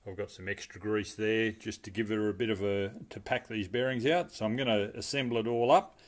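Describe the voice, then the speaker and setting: A man's voice: lowish voice, steady tone, brisk. A middle-aged man, explaining a brake repair step by step in a casual, practical way, with plenty of fillers. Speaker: home mechanic restoring a car. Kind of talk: casual how-to narration. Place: home garage workshop.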